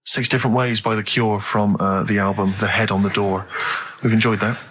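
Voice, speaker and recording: A man's voice: lowish voice, steady tone, brisk, music in the background. A man co-hosting a radio show in casual, teasing banter, often goading the others. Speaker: radio co-host.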